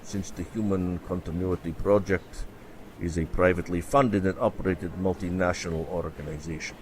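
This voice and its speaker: An actor playing a blunt, pragmatic professor in a science fiction radio play. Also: male voice, sits low, steady tone, moderate pace, darkish tone, a little hiss or hum, lightly acted, rough recording. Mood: matter-of-fact. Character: blunt, pragmatic male professor on a space project. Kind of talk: scripted sci-fi radio play.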